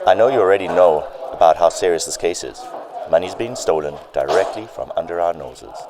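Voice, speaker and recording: A man playing a brisk, stern police chief in a radio drama. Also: low voice, a crowd in the background, natural voice, poor audio.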